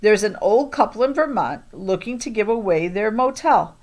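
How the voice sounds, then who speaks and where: A woman's voice: mid-range voice, animated, normal speed, darkish tone, lightly acted. A woman reading a children's story as a helpful, chatty woman who works as a school librarian. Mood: interested, amused.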